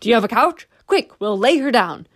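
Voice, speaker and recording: Woman putting on an aging village sergeant, gruff, loud orders, storybook. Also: on the high side, sing-song, brisk. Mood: commanding. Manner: barking orders.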